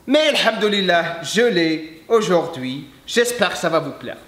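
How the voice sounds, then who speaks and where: Guy, pretty high voice, heartfelt vlog talk, halting french mixed with arabic, echoey room. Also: expressive, fairly bright tone. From Algeria. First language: English. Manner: emphatic, halting non-native French with repeats. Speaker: fitness coach and video host.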